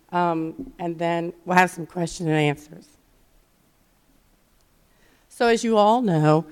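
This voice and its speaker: Low-voiced woman losing her voice. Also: darkish tone. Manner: chatty, self-correcting, many fillers. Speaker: conference presenter. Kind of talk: conference talk. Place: conference session room.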